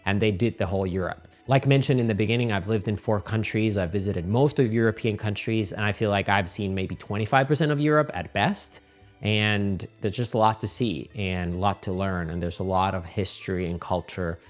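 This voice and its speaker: A middle-aged man, giving casual, animated travel advice as a channel host. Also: fairly low pitch, a little fast, soft background music. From Finland.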